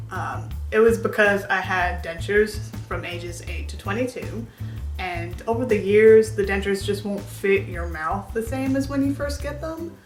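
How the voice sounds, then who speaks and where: A woman's voice: medium pitch, roomy sound. A woman in her twenties chatting candidly to camera as a vlogger, rambling with lots of filler words. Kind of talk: casual chat to camera.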